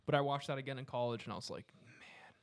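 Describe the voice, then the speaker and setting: A man's voice: medium pitch, fast talker, clean tone. A young adult man chatting informally and using slangy language as a panelist. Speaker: podcast panelist. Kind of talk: casual chat.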